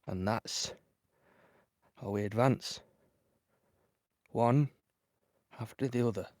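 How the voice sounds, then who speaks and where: An actor playing a plain-spoken, dutiful young British infantryman in an audio play, telling of the fighting in a halting, conversational way. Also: medium pitch, a little mellow, no room sound, studio quality, close to an everyday voice. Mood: matter-of-fact. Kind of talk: dramatic monologue in an audio play.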